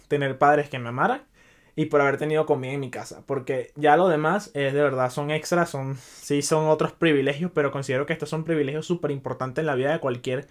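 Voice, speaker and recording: A young man from Venezuela with a Venezuelan accent, hosting a casual solo podcast in a loose, chatty way that drops English words in. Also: on the high side. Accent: Venezuelan Spanish. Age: young adult. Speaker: podcast host.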